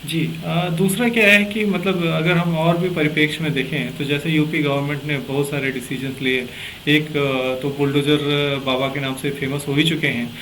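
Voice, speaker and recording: A man with a bright, forceful and slightly high voice hosts a political discussion. He explains things at length, searching for words as he goes. There is some noise behind him. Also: steady tone, normal speed, hardly any pauses, rough recording. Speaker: interviewer and host of a political talk show. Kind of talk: conversational political discussion in interview format.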